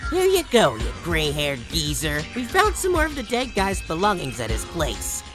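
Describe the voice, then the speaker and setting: A woman's voice: fairly low pitch, huge pitch swings, normal speed, over music. A woman voicing a brash, bossy male hawk gang leader with a mocking manner in an audio drama. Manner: cocky, mocking. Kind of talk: amateur audio drama acting.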